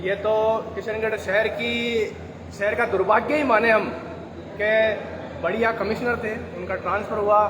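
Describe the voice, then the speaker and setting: A male ward councillor giving a formal, measured statement with pauses at a press conference. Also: high voice, steady tone. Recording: crowd noise behind.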